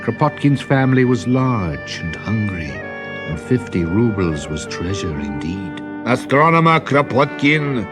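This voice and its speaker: A man narrating a children's story as an expressive storyteller. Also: low-pitched, a little slow. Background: music.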